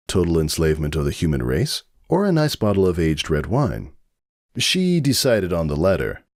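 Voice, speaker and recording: A man's voice: deep voice, a little mellow, no room sound, studio quality. A man narrating a story in a scripted, dramatic reading style. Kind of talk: dramatic fan fiction narration.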